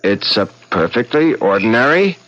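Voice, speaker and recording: A man's voice: mid-range voice, very animated, moderate pace, fairly bright tone, lo-fi sound. An actor playing an earnest, evasive man who reasons and protests in a placating way in a seventies radio drama. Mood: reasoning, protesting. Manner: earnest, placating, hesitant under pressure. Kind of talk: 1970s radio drama acting. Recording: hissy 1970s radio drama recording.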